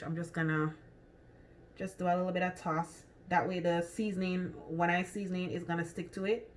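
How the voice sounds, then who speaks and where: A woman's voice: on the low side, very noisy. A woman with a Jamaican accent chatting casually through a cooking demonstration in her home kitchen, thinking aloud with lots of ums and restarts. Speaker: cooking vlogger.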